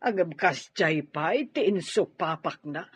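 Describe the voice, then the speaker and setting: A woman's voice: on the low side, animated, measured pace, slightly rough, no room sound, exaggerated voice. An actress playing a protective, stern middle-aged mother in a radio drama, giving firm advice. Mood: stern, indignant. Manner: firm, advising. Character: protective, stern mother urging her daughter to retaliate. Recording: dull lo-fi, dry radio drama audio.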